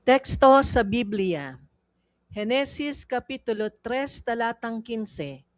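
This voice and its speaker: A Filipino woman narrating a scripted read-along Bible quiz in a measured, deliberate way with pauses between phrases. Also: fairly high pitch, lively intonation, no room sound.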